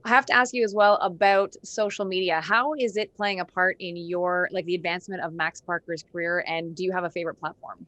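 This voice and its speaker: A woman's voice: fairly low pitch, fairly even intonation, a little fast, hardly any pauses, a bit bright, no room sound. A woman hosting a music interview in a conversational, encouraging way. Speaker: interview show host. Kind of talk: conversational radio-style interview.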